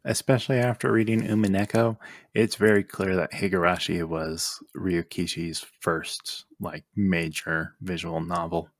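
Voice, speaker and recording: A man with a deep voice and plenty of vocal fry talks casually as a podcast co-host. He explains things conversationally, in a dry, close recording. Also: fairly even intonation, a little slow, dry recording.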